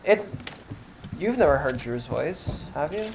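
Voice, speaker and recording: Young male streamer in his twenties, high tenor voice, loose rambling chat, clear background noise. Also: measured pace. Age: 20s. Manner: relaxed, rambling, thinks aloud. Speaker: live streamer. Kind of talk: casual chat while gaming.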